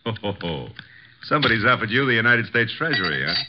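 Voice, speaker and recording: An actor playing a breezy, sardonic detective's assistant and narrator in a 1950s American radio drama, slangy and teasing. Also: male voice, on the low side, very animated, fast talker. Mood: wisecracking, teasing. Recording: dull, noisy 1950s radio recording.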